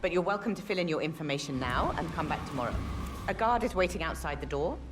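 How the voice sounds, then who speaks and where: A woman playing a brisk, officious recruiter for shady test trials in a video game, speaking formally. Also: deep voice, lively intonation, over music. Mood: polite, businesslike. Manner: formal, businesslike. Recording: echoey room with loud background noise.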